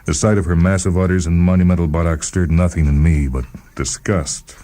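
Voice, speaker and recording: A very deep-voiced man narrates a short story in the first person. His tone is dark and mellow, and his delivery is measured and deliberate, as in dramatic scripted storytelling. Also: steady tone, faint music behind.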